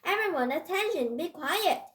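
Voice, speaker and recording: A woman's voice: on the high side, animated, measured pace, warm tone. A woman voicing a flustered, stern female schoolteacher in a read-aloud story, exclaiming and commanding. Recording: roomy echo.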